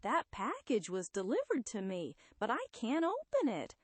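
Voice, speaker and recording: A woman voicing a gentle, affectionate young blind woman with a fond, soft-spoken manner. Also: fairly high pitch, huge pitch swings, moderate pace, no echo. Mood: warm.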